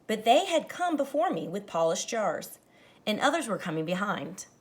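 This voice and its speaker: A woman teacher reading a picture book aloud to children, expressive and dramatic, speaking to them directly. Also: medium pitch, normal speed, slight background noise. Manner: animated, dramatizes the story lines, addresses children directly. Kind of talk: expressive children's read-aloud with explanatory asides.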